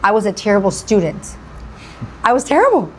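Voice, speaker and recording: A woman's voice: medium pitch, sing-song, brisk, a little hiss or hum, low-quality recording. A woman hosting a radio interview, gushing and repeating phrases for emphasis. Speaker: radio show host. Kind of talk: enthusiastic interview.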